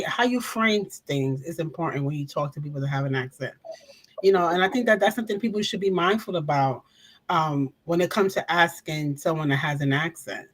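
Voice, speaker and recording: A woman co-hosting a discussion who speaks in a measured, thoughtful way. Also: fairly low pitch. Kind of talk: casual discussion.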